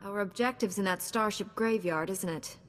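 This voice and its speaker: A woman's voice: medium pitch, moderate pace, a bit bright, a little hiss or hum, natural voice. An actress playing a young, composed female Jedi hero, cool and self-assured, in a video game. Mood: cool, inquiring. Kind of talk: scripted game dialogue.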